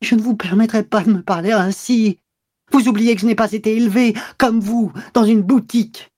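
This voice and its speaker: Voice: mid-range voice, steady tone, normal speed, a little mellow, voice pushed up, lightly acted. A reader voicing a proud older woman who answers her husband with tragic indignation. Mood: indignant. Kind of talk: audiobook character reading.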